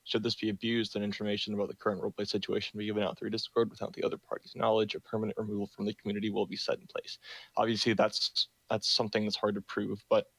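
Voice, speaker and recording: Young man, low flat voice, dry close mic, reads server rules over voice chat, lots of ums. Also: very fast, breathy edge, dry recording, rough recording. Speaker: meeting host for a roleplay community. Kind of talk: reading rules aloud in a voice chat meeting.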